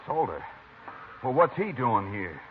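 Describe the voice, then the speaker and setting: Glib cornered outlaw. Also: male voice, medium pitch, sing-song, measured pace, background noise. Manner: glib, swinging from mocking to defensive. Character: smooth-talking, cornered young outlaw husband. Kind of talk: 1950s radio drama acting. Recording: tinny old radio broadcast with crowd.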